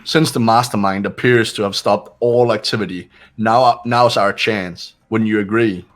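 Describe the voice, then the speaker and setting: A man voicing a stern, resolute young leader in a video game, speaking gravely and with authority. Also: lowish voice, fairly even intonation, normal speed, natural voice. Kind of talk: amateur voice acting of a video game.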